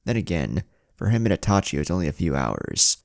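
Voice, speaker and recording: A low-pitched man reads a story as a narrator, with a gravelly edge to his voice. His pitch moves around in a lively way, and music plays behind him. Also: fairly quick, dry recording, clean studio sound. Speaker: channel host and narrator. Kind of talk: fan fiction audiobook narration.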